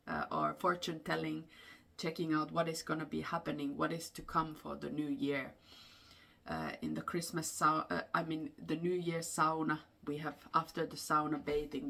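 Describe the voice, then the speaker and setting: A woman with a Finnish accent telling folklore in an unscripted, explanatory way, with halting phrases and frequent pauses. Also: sits low, vocal fry, fairly bright tone. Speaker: storyteller and host.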